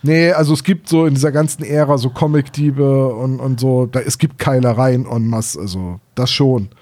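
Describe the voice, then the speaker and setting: A man's voice: mid-range voice, steady tone, normal speed, a little mellow. A man chatting casually as co-host of a podcast about books and audio plays.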